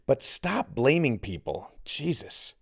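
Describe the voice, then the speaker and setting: A man's voice: medium pitch, sing-song, takes it slowly, vocal fry, breathy edge, voice pushed up, exaggerated voice. A man voicing a mocking, cocky older teenage boy in an audiobook, sarcastic and taunting.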